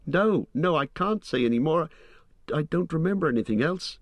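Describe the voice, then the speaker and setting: A man voicing a frightened young male hobbit who stammers and pleads in a high, shrill voice. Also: expressive, normal speed, no room sound, lightly acted. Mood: distressed.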